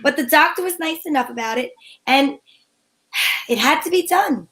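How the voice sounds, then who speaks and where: High-voiced breezy lady sleuth played by a woman. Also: lively intonation, pushed and bright, full character voice. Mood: narrating, pensive. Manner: brisk, sensible, wry. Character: Candy, breezy take-charge female amateur sleuth. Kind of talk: old-time radio mystery acting with first-person narration.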